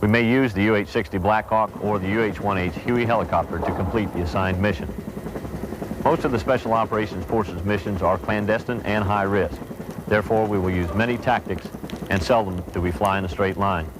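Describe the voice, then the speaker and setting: Male military presenter, lower register, formal scripted explanation, recorded with clear vehicle-like noise behind. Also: fairly quick.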